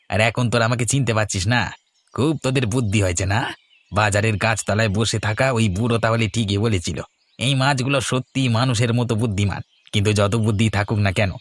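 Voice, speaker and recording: A man voicing a sly, grumpy village fisherman in a cartoon, gruff and cagey. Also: lowish voice, fairly bright tone. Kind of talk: cartoon voice acting.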